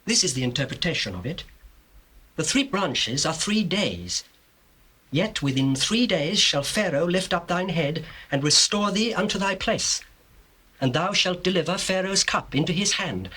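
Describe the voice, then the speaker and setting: An actor playing an upright, devout young Hebrew servant in a scripture drama, speaking in an earnest, measured, declamatory way. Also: male voice, fairly high pitch, very animated, bright, forceful tone, slightly rough, full character voice. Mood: solemn, pleading.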